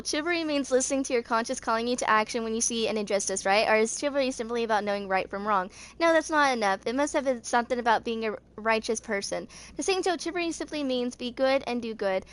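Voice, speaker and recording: Higher-voiced young woman reading game lore aloud. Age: 20s. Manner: stumbles over words and rereads them. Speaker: amateur gaming fan video creator.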